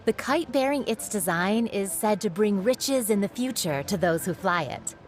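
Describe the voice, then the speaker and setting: Friendly stall vendor, voiced by a woman; bright sales pitch, music clearly behind. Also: animated, normal speed, natural voice. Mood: welcoming. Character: friendly young female kite stall vendor. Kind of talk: game voice acting.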